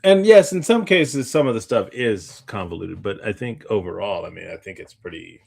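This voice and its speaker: Lower-voiced man in rambling casual chat. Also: animated, moderate pace, creaky, husky. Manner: rambling, circles back on points. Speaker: podcast co-host.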